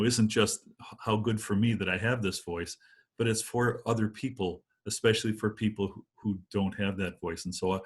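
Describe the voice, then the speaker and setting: An older man in his sixties, a priest, speaks on a video call from home. His voice is a little husky and lowish. He talks in a reflective, considered way. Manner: thoughtful, reflective. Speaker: priest guest. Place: home room. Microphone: video call webcam.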